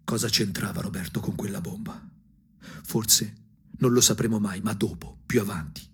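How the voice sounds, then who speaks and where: A man from provincial Tuscany narrating a theatrical radio monologue, husky, dramatic and ironic, dropping into whispers. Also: lowish voice, moderate pace, fairly bright tone, a little hiss or hum. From provincial Tuscany, Italy. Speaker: narrator and actor.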